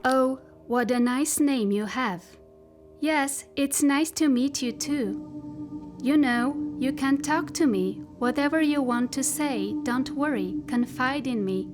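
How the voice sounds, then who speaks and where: Sci-fi audio drama: female actor as a smug, possessive machine intelligence, higher-pitched, unhurried, insinuating, over-articulated. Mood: seductive. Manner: slow, deliberate, over-articulated, intimate and insinuating. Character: possessive, coaxing spacesuit AI machine, self-satisfied and controlling. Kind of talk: theatrical science fiction monologue. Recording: music behind the voice.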